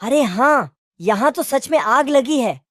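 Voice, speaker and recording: Woman as a higher-pitched, inquisitive cartoon jackal. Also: lively intonation, slow pace, no echo, clean studio sound, full character voice. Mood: curious. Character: sensible, questioning male jackal in a forest. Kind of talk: children's animated moral story dialogue.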